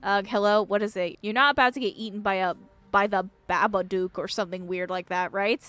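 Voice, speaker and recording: A woman's voice: mid-range voice, steady tone, brisk, fairly bright tone, clear, resonant tone, natural voice. Woman reading Tabitha, curt and exasperated. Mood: irritated. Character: Tabitha, irritable, worried woman in a visual novel.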